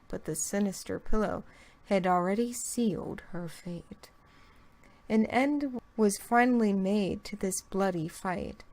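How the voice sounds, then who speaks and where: A woman, a guest on the channel, reading a rhyming creepypasta aloud and dropping into a whisper on some lines. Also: medium pitch. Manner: slow, drawn-out delivery with whispered lines. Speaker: guest reader.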